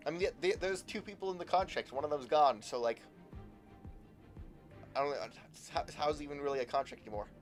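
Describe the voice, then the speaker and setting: Halting male game streamer over music. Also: a little high. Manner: halting, repeats words and trails off mid-thought. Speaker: livestreamer playing a game. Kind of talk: casual live gameplay commentary.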